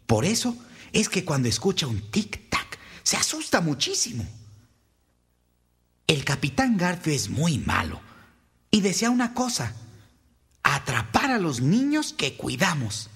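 A woman's voice: lowish voice, wildly swooping pitch, a little slow, pauses often, slightly rough, pitched below the performer's own voice, heavily acted. A woman voicing a spirited, adventurous young boy in a children's storybook, with dramatic, emphatic delivery. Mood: grave warning. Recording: long hall-like reverb.

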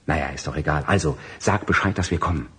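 An actor playing an eager, loyal male sidekick in a radio drama, informal and husky-voiced. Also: extremely low pitch, fast talker, a bit bright, exaggerated voice. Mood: eager, urging.

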